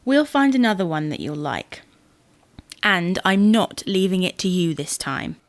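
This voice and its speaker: A woman's voice: fairly low pitch, expressive, unhurried, dry recording. A woman playing an exasperated, image-conscious wife who scolds and bosses in a domestic comedy audio drama. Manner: bossy, scolding, sarcastic.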